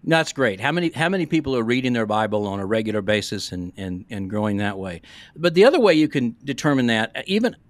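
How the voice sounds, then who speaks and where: A man's voice: mid-range voice, sing-song, quick pace, no echo. A man in his sixties, a pastor co-hosting a podcast in a casual, rambling, folksy way. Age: 60s. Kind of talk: casual conversational podcast discussion. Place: small room, remote video call. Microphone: close podcast microphone.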